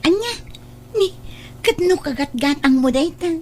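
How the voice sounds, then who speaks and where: A woman plays a chatty young friend in an Ilocano audio drama. She has a fairly high voice and an Ilocano Filipino accent. Her manner is curious and exclamatory, with mild teasing. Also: expressive, measured pace. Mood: surprised, teasing.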